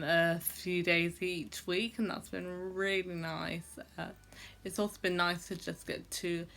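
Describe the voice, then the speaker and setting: Slow-talking woman who stammers. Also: fairly low pitch, fairly bright tone. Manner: stammers, with repeated sounds and blocks; reflective, many fillers. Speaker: vlogger. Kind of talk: personal vlog talk to camera.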